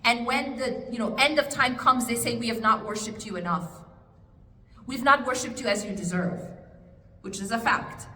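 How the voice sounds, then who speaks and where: A woman's voice: on the high side, normal speed, sharp tone. A woman answering an audience question at a religious study circle, talking casually and coaxing her listeners with rhetorical questions. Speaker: speaker at an Islamic study circle. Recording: echoey hall with faint noise.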